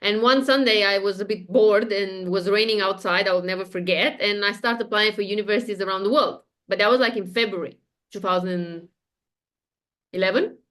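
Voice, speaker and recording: A woman's voice: mid-range voice, fairly bright tone. A woman in her thirties speaks with a Romanian accent, sharing her story as a podcast guest. She talks in a loose, meandering way, full of ums and small laughs. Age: 30s. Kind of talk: casual interview chat.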